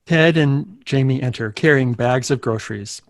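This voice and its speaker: A man's voice: medium pitch, moderate pace. A man serves as narrator, reading scripted stage directions aloud at a table read. His voice sits in a middle register, with a dry sound free of room echo.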